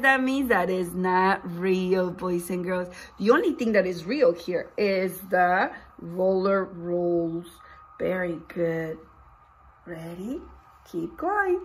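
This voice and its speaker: Slow, animated woman reading to children. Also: mid-range voice. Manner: expressive, questioning, addressing children directly. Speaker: teacher reading to young children. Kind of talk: interactive picture-book read-aloud. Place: indoors, small room with posters and books.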